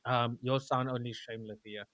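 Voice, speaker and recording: A man hosting a live talk show, who speaks loudly and chattily with many restarts. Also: medium pitch, fairly even intonation, normal speed, crowd noise. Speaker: host. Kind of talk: casual discussion on a live show.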